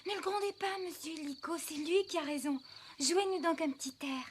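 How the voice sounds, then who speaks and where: A woman voicing a tender, loyal young circus girl in a cartoon, gentle and earnest. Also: high voice, moderate pace, background noise, close to an everyday voice. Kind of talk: cartoon voice acting.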